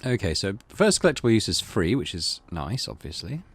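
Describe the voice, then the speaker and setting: Male let's player, low register, animated delivery, casual thinking-aloud commentary mixed with story reading. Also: a little fast, breathy edge, no room sound. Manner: thinks aloud while playing. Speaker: gaming channel host playing a visual novel.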